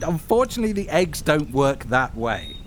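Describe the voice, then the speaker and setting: An actor as a bumbling, wildly animated fantasy mage. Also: mid-range voice, a little fast, slight background noise, natural voice. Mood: hesitant, calm. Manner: hesitant, stammering, earnest. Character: bumbling, excitable, good-natured fantasy egg-hatching mage. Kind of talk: comedic fantasy audio drama acting.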